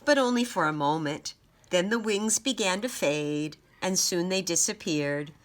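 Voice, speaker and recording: A woman storyteller and teacher reading aloud and explaining for children, with deliberate, emphatic phrasing. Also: lowish voice, a little hiss or hum. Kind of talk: children's read-aloud and simple lesson.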